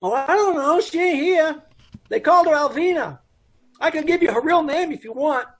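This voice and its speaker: Guy playing chatty blunt dance hall owner, real high voice, folksy bad grammar, old radio cop show. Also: normal speed. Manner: folksy, ungrammatical, blunt speech. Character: brusque, chatty male dance hall boss, unpolished and informal. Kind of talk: 1950s radio police drama acting.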